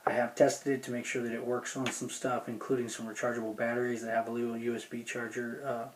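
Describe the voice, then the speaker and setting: Male gear reviewer, casual and folksy, mid-pitched with little inflection, some background noise. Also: flat delivery, quick pace. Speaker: gear review video host. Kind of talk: casual unscripted product review.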